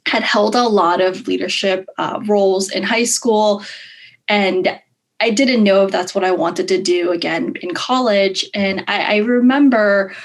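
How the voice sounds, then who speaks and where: A woman's voice: medium pitch, measured pace. A woman in her thirties from Hong Kong, a guest telling her story in casual chat over a video call, bubbly, laughing often and using many fillers. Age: 30s. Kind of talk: casual interview.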